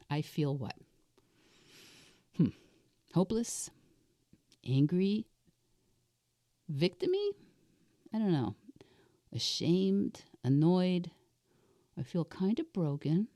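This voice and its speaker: A woman in her sixties with a raspy voice, slightly under the weather, coaching herself aloud on a solo podcast in a deliberate, reflective way. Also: low-pitched, warm tone, no room sound, studio quality. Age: 60s. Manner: deliberate, reflective, asks and answers own questions. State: unwell with a cold-like illness. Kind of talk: self-coaching monologue, thinking aloud.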